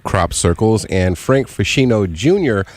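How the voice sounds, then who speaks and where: A man's voice: lowish voice, animated, unhurried, no room sound. A man co-hosting a radio show, putting questions in a conversational interview style. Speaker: radio co-host.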